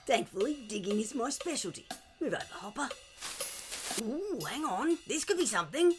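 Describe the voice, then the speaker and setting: Brash grumbling animal sidekick female actor: very high, animated, audio drama with music behind. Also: normal speed. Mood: eager.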